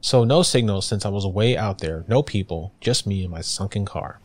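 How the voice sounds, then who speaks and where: A man's voice: fairly low pitch, expressive, moderate pace, a little mellow. A man narrating a first-person story in a conversational style, as a guest reader.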